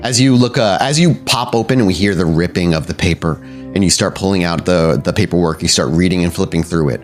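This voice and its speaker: A man narrating a tabletop role-playing game as storyteller, with dramatic, deliberate descriptive phrasing. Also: deep voice. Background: soft background music.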